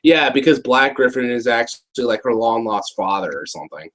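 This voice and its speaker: A man's voice: medium pitch, expressive, fairly quick, fairly bright tone, a little hiss or hum. Slangy American man with a mid-range voice. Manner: slangy, exaggerating, jokey. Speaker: review show co-host. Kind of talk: casual joking review chat.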